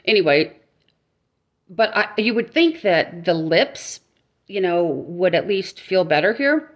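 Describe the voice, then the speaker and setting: A woman's voice: fairly low pitch, big pitch swings, slow pace, lo-fi sound. Rambling woman with a dry mouth. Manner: rambling, self-mocking, with frequent restarts and laughs. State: dry mouth, struggling to talk. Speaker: vlogger. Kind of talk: casual vlog monologue.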